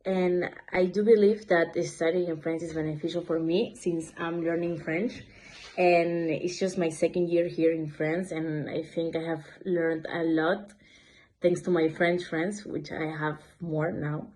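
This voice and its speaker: A woman's voice: fairly low pitch, flat delivery, measured pace, darkish tone, low-quality recording. A woman in her twenties from Ecuador, a business student giving earnest, plain interview answers. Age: 20s.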